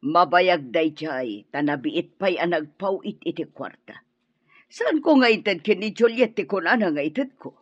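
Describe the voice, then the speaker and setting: A woman's voice: fairly low pitch, expressive, a little slow, breathy edge, dry recording, lo-fi sound. A woman with a Filipino accent playing a scheming but wary older mother-in-law in a radio drama, speaking in cautioning tones. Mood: sly. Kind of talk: Ilocano radio drama acting.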